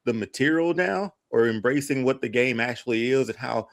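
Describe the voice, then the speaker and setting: A man's voice: mid-range voice, animated, a little fast, vocal fry. A man chatting casually as a podcast panelist, slangy and emphatic, often repeating his phrases for weight.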